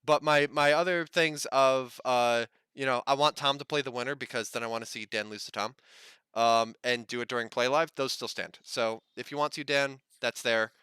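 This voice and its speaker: A man's voice: medium pitch, fairly even intonation, moderate pace, sharp tone, clear, resonant tone, dry recording. A man co-hosting a gaming podcast, giving news follow-ups in a wry, self-deprecating way. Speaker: podcast co-host. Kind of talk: casual gaming news chat.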